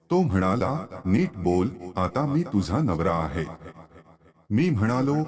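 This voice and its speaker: Low-pitched, lively male storyteller. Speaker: story narrator. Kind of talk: scripted first-person story narration.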